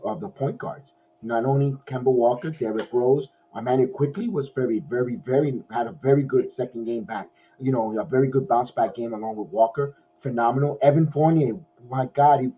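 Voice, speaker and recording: A man hosting a casual post-game sports podcast, his voice hoarse, rambling with many restarts like an excited fan. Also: medium pitch. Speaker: podcast host. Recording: dull lo-fi audio.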